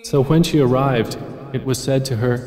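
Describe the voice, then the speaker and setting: A man reads verse translations in a steady, formal manner, his voice neither high nor low. A long reverb trails after each phrase, as if he is in a large, echoing space. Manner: measured, formal reading. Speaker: translation reader. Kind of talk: scripted narration. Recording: echoey room with long reverb.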